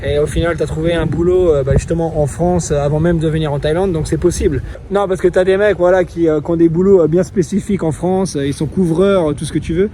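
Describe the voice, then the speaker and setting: A man hosting a casual interview outdoors, relaxed and chatty with a bit of slang. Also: a little high, fast, hardly any pauses, slight background noise. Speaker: interviewer, channel host.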